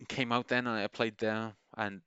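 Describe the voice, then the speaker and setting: A man's voice: lowish voice, level tone, normal speed, pushed and bright, smooth, dry recording, lo-fi sound. A man talking in a casual, meandering monologue, enthusiastic and full of restarts. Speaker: gaming video creator.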